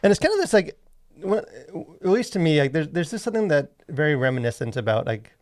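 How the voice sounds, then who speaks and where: A man in a casual discussion as a podcast co-host, talking in a rambling, halting way full of filler phrases. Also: fairly high pitch, big pitch swings. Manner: rambling, halting, packed with "like" and "you know". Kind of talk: casual discussion of faith and culture.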